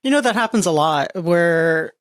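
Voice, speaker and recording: A playful woman from Florida hosts a podcast interview, her voice sitting a little low. She talks in a loose, upbeat way. The sound is dry and close. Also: animated, moderate pace, no echo. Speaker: podcast host. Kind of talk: casual interview chat.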